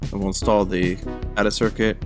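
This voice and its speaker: A man's voice: fairly low pitch, level tone, moderate pace. A man in his forties narrating a casual DIY car vlog in a wry, jokey way. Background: background music.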